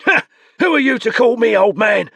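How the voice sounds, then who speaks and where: A man voicing a feeble, defiant old man with a creaky voice, in an audiobook. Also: extremely high pitch, lively intonation, moderate pace, no room sound, voice pushed up, exaggerated voice. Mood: scornful. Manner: indignant, shouting.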